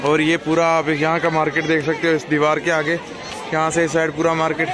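A young man from Jammu and Kashmir talks casually to his vlog viewers through a phone held at arm's length. His voice sits a bit high for a man. Clear city and traffic noise surrounds him as he keeps pointing out the sights. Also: monotone, a little fast, crowd noise. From Jammu and Kashmir, India. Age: young adult. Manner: addresses viewers often, pointing things out. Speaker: travel vlogger. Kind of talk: casual vlog narration to camera. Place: outdoors on busy streets, in vehicles and at monuments. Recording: noisy city street with traffic.

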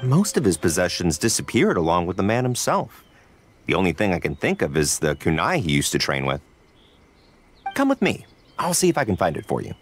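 Low-voiced, courteous ninja clansman. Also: wildly swooping pitch, a little hiss or hum. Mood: thoughtful, helpful. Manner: courteous, measured, thoughtful. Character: polite, discreet male member of a ninja organization. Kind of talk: video game character dialogue. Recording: music behind the voice.

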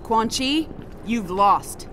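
A woman playing a tough, no-nonsense military officer in a video game, curt and forceful. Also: fairly high pitch, animated, very slow, a little hiss or hum, full character voice. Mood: fierce, defiant. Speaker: voice actor in a fighting game. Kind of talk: cinematic game dialogue. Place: studio recording with music behind.

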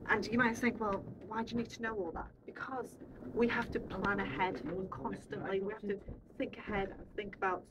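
Young female guide, explanatory and informal, checking understanding, picked up off-mic amid loud room noise. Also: mid-range voice, level tone, moderate pace, distant mic, muffled recording. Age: young adult. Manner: checks understanding with frequent tag questions. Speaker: air traffic controller giving a tour. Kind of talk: informal explanatory talk to a visiting group. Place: indoor radar control room. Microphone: handheld camera a short distance away.

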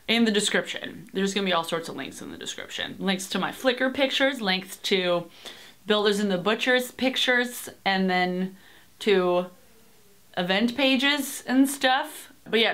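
Bright-voiced chatty young woman vlogger. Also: medium pitch. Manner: rambling, lots of "like" and "um", swears casually. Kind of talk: casual chatty vlog. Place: small bedroom, indoors. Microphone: webcam at desk distance.

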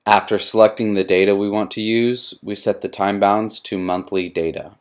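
Low-voiced man narrating a tutorial. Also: fairly even intonation, normal speed, smooth. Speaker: software demonstrator. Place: voice-over for a screen recording.

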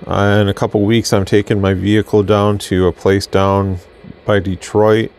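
An American man with a low-pitched voice talks through a product demo. His delivery is casual and conversational, wandering from point to point as a hobby reviewer would. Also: little pitch movement, normal speed, a little mellow. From Michigan, United States. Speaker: radio reviewer.

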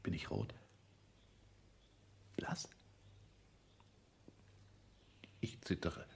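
An actor playing a calculating, anxious social climber in a 1960s German radio play, talking in agitated, clipped phrases. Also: male voice, slow pace, in a whisper, natural voice. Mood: anxious, self-doubting. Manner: agitated, self-questioning monologue in clipped phrases.

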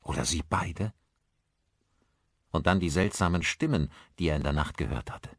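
A man narrating a thriller audiobook as scripted storytelling, with expressive phrasing. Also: low-pitched, normal speed, dry recording, studio quality.

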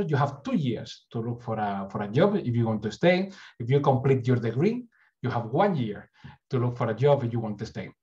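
A man's voice: medium pitch, a little mellow, highs cut off. A middle-aged man from Spain with a Spanish accent, giving an earnest university presentation over slides with frequent restarts. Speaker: university recruitment speaker. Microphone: webcam on a video call.

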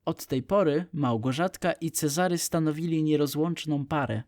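A man's voice: fairly high pitch, moderate pace, darkish tone, no echo, clean studio sound. A man narrating an audiobook story for young children.

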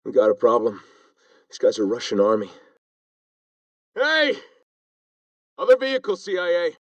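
An actor playing an intense, profane American Marine, clipped and urgent, in video game battle chatter. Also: male voice, medium pitch, huge pitch swings, normal speed, no echo. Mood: urgent shouting.

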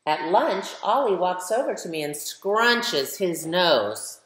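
A middle-aged American woman reads to children in a slightly low voice. Her pace is slow and deliberate, with expressive emphasis and direct questions to her young audience. The recording has the clear echo of a room at home. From United States. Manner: animated, emphatic storytelling, addressing young listeners directly. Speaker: children's author reading aloud. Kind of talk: picture-book read-aloud for children, with chatty asides. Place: medium living room at home, indoors. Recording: roomy, echoey home recording.

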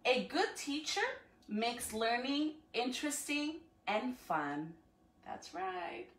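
A woman's voice: high-pitched, big pitch swings, slow pace, vocal fry, a little hiss or hum. A woman reading aloud to children on a livestream as a school educator, in an encouraging way, recorded at home from a few feet away. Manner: encouraging, addressing children with questions. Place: living room at home, indoors. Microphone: phone or camera a few feet away. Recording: echoey room, distant from the microphone.

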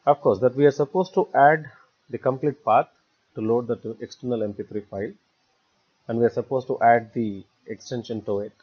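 A man narrating a step-by-step screencast tutorial as an instructor, phrasing haltingly in short, repetitive chunks. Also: medium pitch, darkish tone, breathy edge. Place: voice-over for a screen recording. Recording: dull, lo-fi voice recording.

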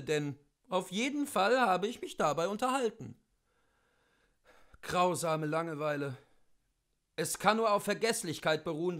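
A man reads a literary memoir aloud in a high-pitched voice. His intonation swings widely from phrase to phrase. The delivery is theatrical and expressive, with emphatic, rhetorical phrasing. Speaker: literary reader. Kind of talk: dramatic literary reading of a memoir.

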